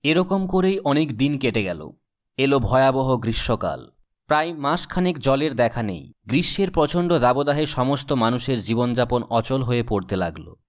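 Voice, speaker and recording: A man's voice: medium pitch, big pitch swings, normal speed. A man reading scripted storybook narration as the narrator of a cartoon tale.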